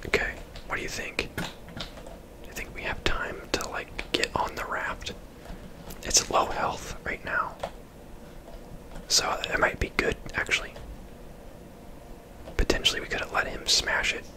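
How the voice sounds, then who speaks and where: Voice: a little slow, long pauses. Casting: ASMR game streamer, fully whispered, casual and chatty play-by-play.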